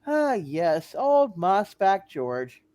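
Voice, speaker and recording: A woman's voice: medium pitch, big pitch swings, extremely slow, mellow, no echo. A woman playing a coy, teasing young single woman in a hammed-up amateur radio play reading. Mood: bright, pointed.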